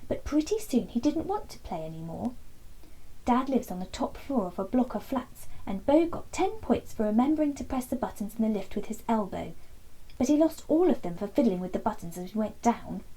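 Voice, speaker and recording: A woman narrating a children's book as an expressive bedtime story, animated, with dramatic pauses. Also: on the high side, slightly rough, poor audio.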